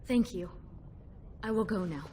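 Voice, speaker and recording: A woman's voice: mid-range voice, measured pace, dark tone, slight background noise, close to an everyday voice. An actress playing a timid, apologetic young woman, a prince's companion in a fantasy game, hesitant and stammering. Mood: embarrassed. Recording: faint music behind the voice.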